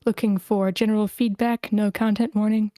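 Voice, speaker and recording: A woman's voice: medium pitch, flat delivery, moderate pace, mellow, no echo, studio quality. A woman hosting a screenplay table read, switching between informal, encouraging chat and narration of stage directions. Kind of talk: casual hosting and script narration.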